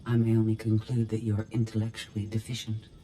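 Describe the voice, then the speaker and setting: A woman's voice: extremely low pitch, monotone, fast talker, noisy background. An actress playing an arrogant, hostile female machine intelligence in a sci-fi game, with stiff and formal delivery. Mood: haughty, threatening. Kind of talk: sci-fi video game voice acting.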